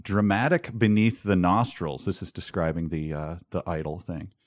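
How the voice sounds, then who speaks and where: Wry low-voiced man on a podcast. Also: steady tone, moderate pace. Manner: wry, mock-serious reading with joking asides. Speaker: podcast co-host. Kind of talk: casual comic book discussion.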